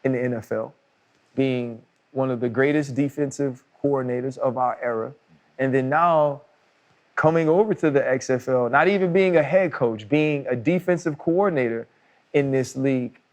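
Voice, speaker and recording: A man's voice: mid-range voice, moderate pace. A man hosting a podcast, speaking earnestly in praise of a former coach before asking him a question. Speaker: podcast host, former player. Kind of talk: casual podcast conversation.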